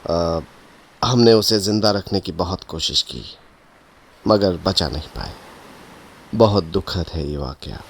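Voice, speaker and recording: An actor playing a grave, puzzled ship captain and explorer, recounting events in measured audio drama narration. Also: male voice, low voice, slight background noise. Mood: sombre.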